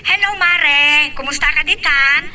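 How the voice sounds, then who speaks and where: A woman's voice: high-pitched, a little slow. A woman with an Ilocano accent playing a chatty, reassuring friend working abroad in an amateur audio drama. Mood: friendly. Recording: distant mic with background noise.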